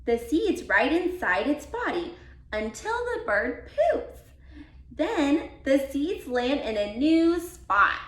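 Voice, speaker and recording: A woman reading a children's picture book aloud as a volunteer, with deliberate, expressive emphasis for young listeners. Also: a little high. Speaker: volunteer read-aloud reader. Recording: roomy, echoey sound.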